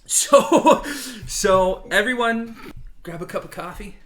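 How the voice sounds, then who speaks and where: Guy hosting a casual podcast, bright punchy voice, jokes around and swears, laughs a lot. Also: high-pitched, expressive, slow. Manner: jokey, laughs often, swears freely. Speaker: podcast host. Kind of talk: casual chat, storytelling among friends.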